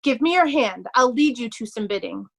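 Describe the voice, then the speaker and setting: A woman playing a loyal young nobleman disguised as a humble poor man, earnest and measured, in readers' theatre Shakespeare. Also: fairly high pitch, expressive, creaky, a bit bright, heavily acted. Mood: gentle, grave.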